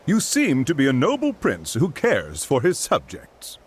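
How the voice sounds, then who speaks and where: An actor playing a sly, fawning male aide to a count, with an oily, wheedling manner and a husky voice. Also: huge pitch swings, unhurried, exaggerated voice. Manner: oily, wheedling, pitch swooping widely. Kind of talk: dubbed video game cutscene.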